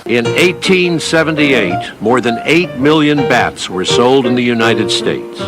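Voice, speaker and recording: A man's voice: medium pitch, lively intonation, a little slow, low-quality recording. A man reading scripted documentary narration as a narrator. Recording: loud plucked guitar music underneath.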